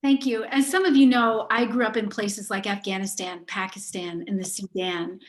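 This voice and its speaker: A woman's voice: medium pitch, fairly even intonation, normal speed. Woman, middle-aged, candidate for governor, emphatic, earnest, prepared statement. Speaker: gubernatorial candidate.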